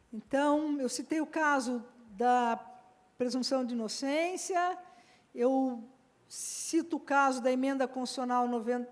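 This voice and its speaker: High-voiced woman giving a law lecture. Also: darkish tone. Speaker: law professor and panel speaker. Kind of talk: academic conference talk. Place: seminar hall.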